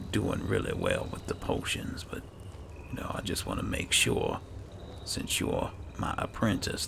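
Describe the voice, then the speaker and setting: A man playing an alchemist adventurer and patient mentor in improvised video game roleplay, with a gravelly, breathy low voice and an unhurried, musing manner. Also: background noise, exaggerated voice. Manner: unhurried, musing, hesitant asides. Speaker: gaming roleplayer. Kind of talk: improvised in-character roleplay narration over gameplay.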